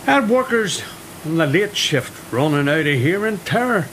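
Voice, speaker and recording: A man's voice: a little high, very animated, normal speed, a bit bright, a little hiss or hum, rough recording. A chatty mill foreman. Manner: chatty, storytelling. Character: middle-aged foreman, friendly storyteller. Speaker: Mister Jennings, mill foreman. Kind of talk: radio drama acting.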